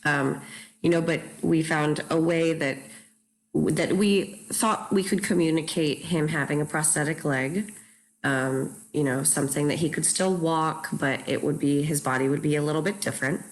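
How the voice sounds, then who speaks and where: Lady director talking reflectively on a panel, pretty low gravelly voice. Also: fairly even intonation, moderate pace, low-quality recording. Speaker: play director. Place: virtual video call.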